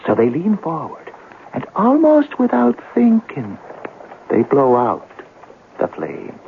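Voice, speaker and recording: An actor playing a brooding, respectful police sergeant in a radio drama, dwelling softly on his words with a gravelly voice. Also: a little high, huge pitch swings, takes it slowly, full character voice. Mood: brooding, haunted. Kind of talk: 1970s radio drama acting. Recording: tinny, noisy old radio broadcast.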